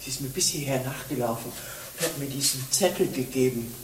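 An actress playing a dutiful, deferential convent sister in a radio play. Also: female voice, a bass voice, a little fast. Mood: timid, deferential. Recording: distant, reverberant hall with noise and clicks.

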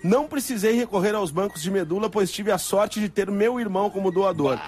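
High-voiced teasing radio host, man. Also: fairly quick, a little mellow, breathy edge. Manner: teasing, laughs along. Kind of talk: comedy radio banter. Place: radio studio.

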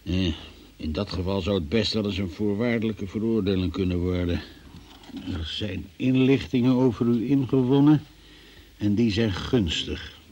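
An actor playing a stern, formal examining magistrate who questions in measured officialese, in a Dutch radio drama. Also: male voice, lowish voice, mellow, highs cut off, natural voice. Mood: softening.

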